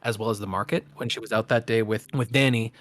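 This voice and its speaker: A man running a tabletop game, narrating in a relaxed way with frequent pauses. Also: lowish voice. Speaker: game master.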